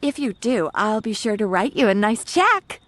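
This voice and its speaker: A woman's voice: fairly high pitch, sing-song, moderate pace, fairly bright tone, a little hiss or hum. An actress playing a prim, snobbish suburban mother in a video game, chirpy, fussy and condescending. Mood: flustered, condescending.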